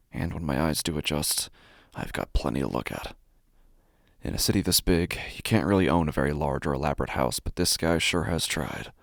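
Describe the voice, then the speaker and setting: Casting: male actor as a cold, violent burglar narrating horror audio drama; extremely low, heavy vocal fry, intense and deliberate. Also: no room sound, studio quality, exaggerated voice. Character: cold obsessive burglar turning savagely violent.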